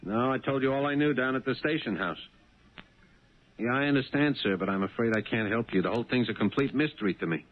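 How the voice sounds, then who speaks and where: An actor playing an uneasy, honest working-class cab driver in a 1970s radio drama. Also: male voice, lowish voice. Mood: polite, evasive. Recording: tinny, band-limited 1970s radio broadcast.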